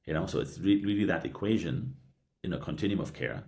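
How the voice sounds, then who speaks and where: A middle-aged man speaking earnestly as a podcast guest, halting with frequent restarts and a habitual "yeah". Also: sits low, a little mellow. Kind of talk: reflective conversational interview.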